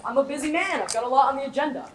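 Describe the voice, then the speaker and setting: A woman's voice: high-pitched, rapid-fire, lightly acted. A teenage girl playing a nonchalant, cocky ex-convict thief in a comic heist play. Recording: echoey stage, distant microphone, noisy.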